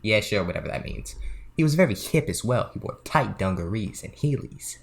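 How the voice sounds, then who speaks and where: A man's voice: on the low side, animated, fairly quick, gravelly. A man playing a sly, touchy back-room card dealer in a comedic audio play, with a swaggering, theatrical and demanding manner.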